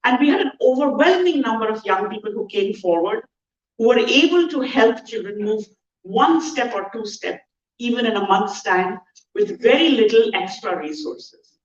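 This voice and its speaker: A woman with an Indian accent giving an earnest, measured keynote talk at a conference. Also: fairly high pitch, lively intonation. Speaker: conference speaker. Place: large conference hall. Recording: echoey, distant, dull hall sound.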